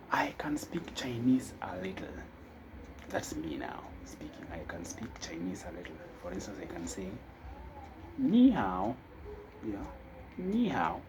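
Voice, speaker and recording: A man teaching a casual spoken lesson, talking slowly and thinking aloud with fillers. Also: deep voice, noisy background. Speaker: language teacher.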